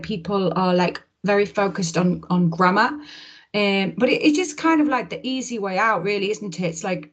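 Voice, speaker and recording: A woman from the English Midlands with an accent mixing Midlands and London English, a chatty English teacher answering interview questions. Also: medium pitch. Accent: mix of Midlands and London English. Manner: chatty, uses fillers like "kind of" and "like". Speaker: guest English teacher and pronunciation coach. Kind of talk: casual video-call interview.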